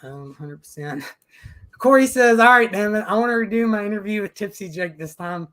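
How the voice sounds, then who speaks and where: A man's voice: high-pitched, expressive, normal speed, a bit bright. A man hosting a casual podcast and reading out live chat, emphatic and profane, with frequent restarts.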